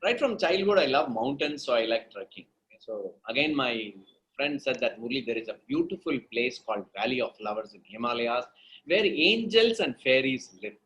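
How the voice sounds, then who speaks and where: A man's voice: mid-range voice, animated, normal speed, a bit bright, poor audio. A man with an Indian accent giving conversational answers as an interview guest, teaching emphatically, repeating phrases and checking in with the listener. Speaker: guest speaker, storytelling coach.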